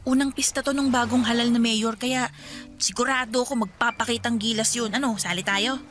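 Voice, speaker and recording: A woman's voice: a little high, steady tone, brisk, fairly bright tone, natural voice. An actress playing Sonia, a talkative and bossy young woman, in a radio drama. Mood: scheming. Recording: music bed under dialogue.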